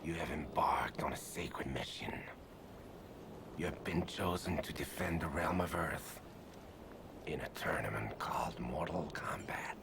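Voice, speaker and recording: A solemn, mysterious film thunder god, with an extremely low, whispery voice full of gravel. He delivers portentous pronouncements over clearly noisy film sound. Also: measured pace, full character voice. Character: solemn, mysterious male thunder god addressing a chosen warrior. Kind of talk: film acting. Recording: film clip with clear background noise.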